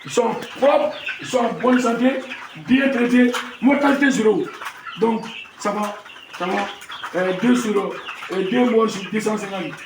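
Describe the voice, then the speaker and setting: A man in his fifties with a Malian French accent shows off his poultry farm, proud and repetitive, often restarting his words. His voice sits high for a man. It is heard from a distance in an echoey space, with clear farm noise and clucking behind him. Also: unhurried. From Mali. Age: 50s. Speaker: poultry breeder. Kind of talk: farm tour talk to camera. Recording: echoey, far from the mic, noisy farm.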